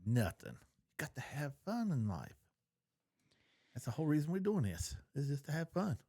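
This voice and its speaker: A man's voice: a little high, wildly swooping pitch, normal speed, gravelly, dark tone. A man co-hosting a podcast in casual banter, with a folksy, colloquial way of talking. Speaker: podcast co-host.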